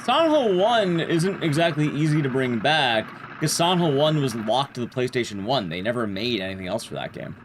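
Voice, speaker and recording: A man's voice: medium pitch, animated, fairly quick, few pauses, slight background noise. A man livestreaming a video game, giving casual gameplay commentary while chatting with his viewers.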